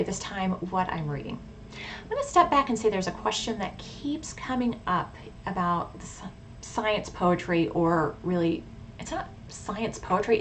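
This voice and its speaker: Casting: woman video blogger, mid-pitched, thoughtful and conversational with self-corrections, some background noise. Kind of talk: casual, reflective talk to viewers about hobbies.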